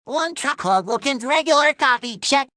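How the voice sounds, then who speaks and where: A performer voicing a pushy, cheerfully menacing cartoon character who pitches like a salesman in short comedy ad skits. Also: animated, normal speed, bright, forceful tone, dry recording, studio quality. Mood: eager.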